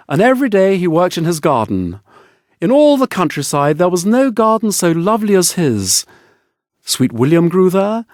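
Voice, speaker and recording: A man narrating an audiobook fairy tale in an expressive, scripted storybook style. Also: high voice, no room sound, clean studio sound.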